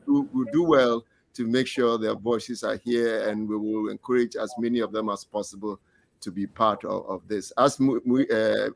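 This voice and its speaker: A man speaking as a panelist in a measured, halting way, pausing often, over a video call. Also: on the low side, fairly even intonation, gravelly, low-quality recording. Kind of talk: casual panel discussion.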